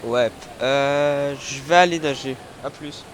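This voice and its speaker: A man voicing a hapless, hesitant teenage schoolboy in a French animated fan dub. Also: medium pitch, takes it slowly, very noisy, natural voice. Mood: casual.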